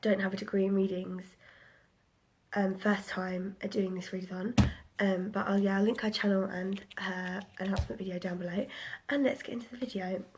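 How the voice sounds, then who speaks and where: A woman's voice: medium pitch, darkish tone, breathy edge. A woman in her twenties chatting casually about the books she read, rambling with lots of ums, a little bunged up. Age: 20s. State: a bit bunged up. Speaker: book vlogger. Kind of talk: casual book review vlog.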